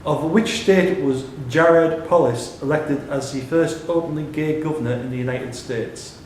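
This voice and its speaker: A man's voice: on the high side, unhurried. A man reading out questions to players as host of a live pub quiz. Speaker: quiz reader. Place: indoors in a pub. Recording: very echoey room, distant mic, background noise.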